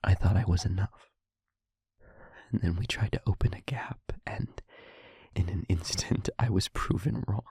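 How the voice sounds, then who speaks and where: A man playing a guilt-ridden demon lover in an intimate audio roleplay, speaking in a halting, breathy, confessional hush. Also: low-pitched, no room sound, clean studio sound, full character voice. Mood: remorseful, haunted. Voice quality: breathy, husky, whispery.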